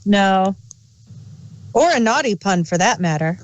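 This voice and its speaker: A woman with a mid-pitched voice chats as a review co-host. Her delivery is informal and bantering, clearly unscripted. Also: lively intonation, a little slow. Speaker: podcast co-host. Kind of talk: casual unscripted review chat.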